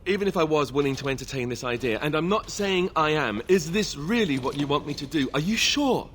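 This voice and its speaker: A man's voice: on the high side, expressive, fairly quick, fairly bright tone, a little hiss or hum. A man voicing a young warrior in a video game, wry and earnest, an awkward reluctant leader. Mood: reluctant, uneasy. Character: young male warrior, awkward, sardonic, reluctant heir turned rallying leader. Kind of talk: video game dialogue acting.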